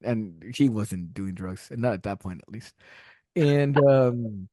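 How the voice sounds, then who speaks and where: A man's voice: fairly low pitch, unhurried, dark tone, no echo. A middle-aged man from Texas whose first language is Spanish, telling stories as a podcast guest, rambling and laughing often. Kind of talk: casual interview storytelling.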